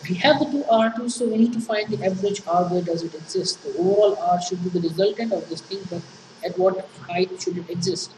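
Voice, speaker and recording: A woman with a Pakistani accent, a native Urdu speaker, giving an engineering lecture and walking through worked problems with frequent fillers and restarts. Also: lowish voice. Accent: Pakistani English. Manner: halting, many fillers and restarts. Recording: echoey room, distant mic, noisy.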